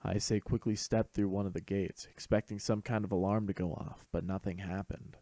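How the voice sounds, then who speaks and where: Low, gravelly male narrator. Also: fairly even intonation, a little fast, dark tone, no room sound. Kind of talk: scripted fan fiction narration.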